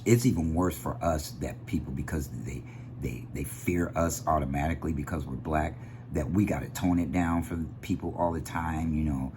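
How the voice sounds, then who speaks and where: A man with a deep bass voice giving an animated, opinionated vlog monologue that drifts off on tangents. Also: moderate pace, slight background noise. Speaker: vlogger.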